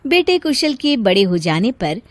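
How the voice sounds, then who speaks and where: A woman narrates a cartoon tale with expressive, animated intonation. The delivery is slow and deliberate, and the sound is dry and studio-close. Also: a little high, dry recording. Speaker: story narrator. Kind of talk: scripted cartoon story narration.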